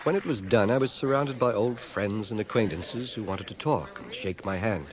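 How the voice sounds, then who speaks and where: An actor playing a wry returned soldier, narrating his memories in a measured, literary radio-drama style. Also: on the low side, expressive, close to an everyday voice. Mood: wry, reflective. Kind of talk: scripted first-person radio drama narration. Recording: 1980 radio drama cassette, music and crowd behind. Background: music and crowd.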